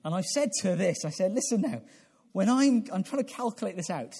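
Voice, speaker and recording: Male preacher, 40s. Slightly high, mellow voice; deliberate, searching sermon delivery with repeated phrases. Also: animated, gravelly, slightly rough. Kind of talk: evangelical sermon with prayer.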